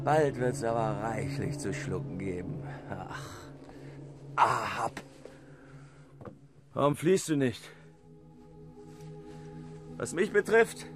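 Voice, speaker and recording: An actor playing a whaling mate who faces death with reckless gallows humor, theatrical and taunting, in a radio drama. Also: male voice, mid-range voice. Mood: taunting despair, then defiant frenzy. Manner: taunting, theatrical, breaking into wild cries. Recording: studio radio play over music. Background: music.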